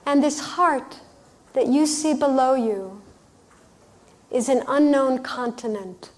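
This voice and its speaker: Slow, higher-voiced woman guiding a meditation. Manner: soothing, gentle, speaking in short pausing phrases. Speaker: workshop leader guiding a visualization. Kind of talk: guided meditation, unhurried storytelling instruction.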